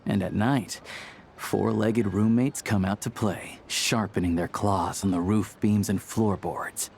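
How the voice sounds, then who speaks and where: A man's voice: fairly low pitch, fairly even intonation, unhurried, creaky, noisy background. A man playing an easygoing hunter and town guide in a video game, speaking in a casual, helpful, matter-of-fact way. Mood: frank, wry. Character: easygoing young male small-time hunter acting as town guide. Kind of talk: video game character acting.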